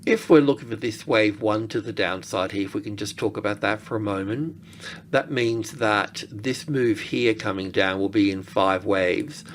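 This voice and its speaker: Male market analyst, lowish voice, informal chart walkthrough, halting think-aloud delivery, faintly noisy recording. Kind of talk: informal technical chart commentary.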